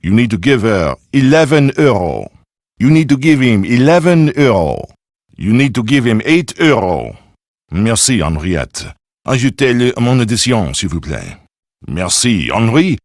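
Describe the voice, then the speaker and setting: A man's voice: mid-range voice, very animated, moderate pace, no room sound, studio quality. A man acting as a suave, self-important Frenchman in a video game, with a French accent and pompous, precise delivery. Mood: courteous, theatrical, then suave, effusive.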